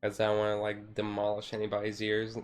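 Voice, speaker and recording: Low male voice, very even intonation, rambling casual stream chat, music in the background. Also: fast.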